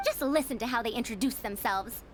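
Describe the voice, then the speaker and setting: A woman's voice: fairly high pitch, fairly quick, noisy background, close to an everyday voice. A woman voicing a haughty senior female scholar in a video game, prim and self-important, with lofty phrasing.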